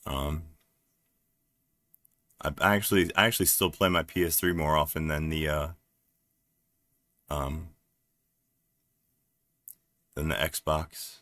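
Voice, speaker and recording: A man from New Jersey with a deep voice, chatting casually and haltingly on a live stream while he works at his computer, with lots of fillers. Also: steady tone, a bit bright, no room sound. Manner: rambling, halting, many fillers, laughs often. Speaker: livestreaming developer.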